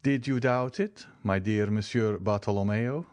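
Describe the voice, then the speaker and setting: A man voicing a cool, composed wealthy count who speaks in a measured way. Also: on the low side, a little mellow, no room sound, studio quality, close to an everyday voice.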